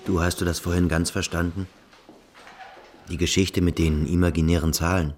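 A man playing a brooding, earnest cadet in a radio drama, hushed and searching. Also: low-pitched, flat delivery, moderate pace, soft background music, natural voice. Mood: puzzled. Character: brooding, earnest male cadet at a boarding school. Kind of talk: literary radio drama acting.

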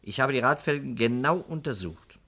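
An actor playing a shrewd, composed insurance investigator in a 1960s German radio crime drama, methodical and politely insistent. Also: male voice, medium pitch, expressive, normal speed, fairly bright tone, slightly rough. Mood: assured, explaining.

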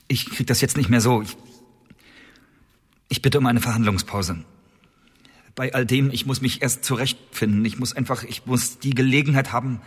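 Man playing young accused spy, husky and halting, pleading his case in an echoey hall. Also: mid-range voice, lightly acted. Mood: distraught, pleading. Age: late 20s. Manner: halting, stammering, earnest. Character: desperate accused male agent of twenty-nine, pleading. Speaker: actor in a radio play. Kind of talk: German radio drama acting. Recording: reverberant hall.

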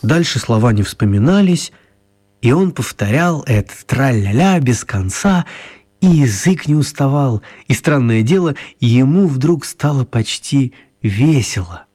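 A man narrating a children's radio story in a wry, confiding way, with expressive, deliberate phrasing and dramatic pauses. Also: medium pitch. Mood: dramatic storytelling.

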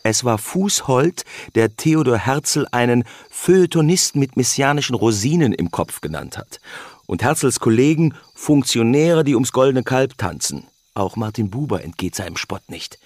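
An actor playing a wry, gossipy educated gentleman with a husky voice, savoring his words in a radio drama. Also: mid-range voice, lively intonation, moderate pace, dry recording, natural voice. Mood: wry relish.

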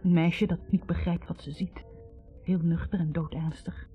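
An actress playing a wounded young woman in a 1960s radio drama, bitterly and haltingly confessing a painful past. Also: fairly low pitch, flat delivery, soft background music, close to an everyday voice. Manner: hesitant, halting, introspective. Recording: dull vintage radio recording.

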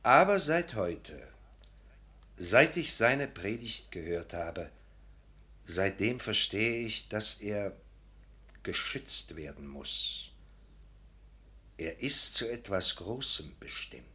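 An actor playing a contrite, self-reproaching gentleman suitor in a literary radio drama, with a formal, earnest, emphatic way of speaking. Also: fairly low pitch, very animated, slow, long pauses, full character voice. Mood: earnest, resolved.

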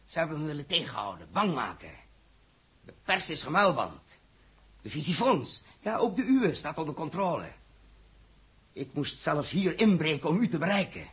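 Een acteur die in een hoorspel uit de jaren zestig een felle, verontwaardigde ruimtekapitein speelt, gepassioneerd en beschuldigend. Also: male voice, high voice, creaky, sharp tone, husky, exaggerated voice. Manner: impassioned, accusatory, rhetorical. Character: fiery, indignant male space captain confronting the government. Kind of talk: 1960s Dutch radio drama acting.

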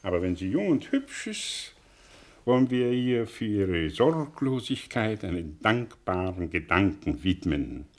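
An actor playing a melancholy, sardonic exiled professor in a German radio drama, musing and declaiming with long pauses. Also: male voice, on the low side, big pitch swings. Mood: dreamy musing.

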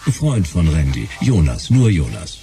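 An actor playing a weary, dry-witted private detective in a hard-boiled radio play, terse and sardonic. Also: male voice, deep voice, sing-song, measured pace, heavily acted. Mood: dry, insistent. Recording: music behind the voice.

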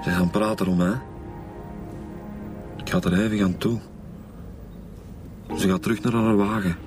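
A man's voice: lowish voice, fairly even intonation, unhurried, close to an everyday voice. Radio serial: actor as easygoing Flemish male inspector, short informal bursts, music underneath. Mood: watchful. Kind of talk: naturalistic radio drama dialogue. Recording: music behind the dialogue.

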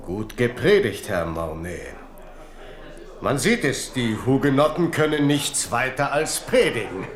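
Needling, sarcastic captain for radio drama; very varied intonation, echoey room. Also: male voice, mid-range voice, sing-song, measured pace. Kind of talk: German radio drama acting.

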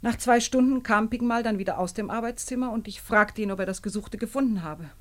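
An actress playing a guarded, unyielding wife under police questioning in a 1950s German radio drama, speaking in a controlled, formal, curt way. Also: female voice, fairly high pitch, a little fast, close to an everyday voice. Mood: composed.

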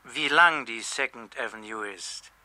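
Sharp, skeptical police desk sergeant. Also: male voice, mid-range voice, measured pace, dry recording. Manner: matter-of-fact, dry, condescending questioning. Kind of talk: 1970s German radio thriller acting.

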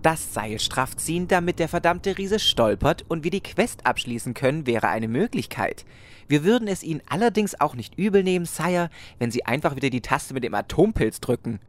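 A woman's voice: low-pitched, very animated, normal speed. A woman playing a bossy, sarcastic knight's sidekick with formal politeness in a fantasy parody audio drama.

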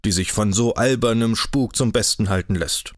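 A man voicing a mocking, chatty older cavalry captain in an audiobook, loud and jovial with a sneer. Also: fairly low pitch, moderate pace, no echo, studio quality, natural voice. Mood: scornful.

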